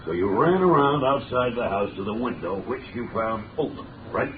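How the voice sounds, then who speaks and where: A man acting a gruff, irritable, blustering police commissioner in a 1930s radio drama. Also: mid-range voice, lively intonation, normal speed, distant mic, close to an everyday voice. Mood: brisk, stern. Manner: brusque, blustering. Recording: scratchy, tinny, echoey 1930s radio.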